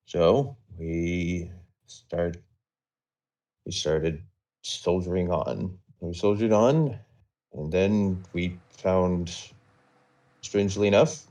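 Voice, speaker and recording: A man with a very deep voice tells a story off the cuff for a live stream. He goes slowly and haltingly, measuring out phrases and digressing as he goes. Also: warm tone. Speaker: storyteller recapping a game server roleplay.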